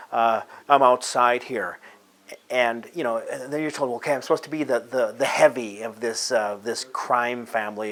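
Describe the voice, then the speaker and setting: A man's voice: medium pitch, a bit bright. A man talking casually in an interview as a theatre producer, searching for his words as he goes.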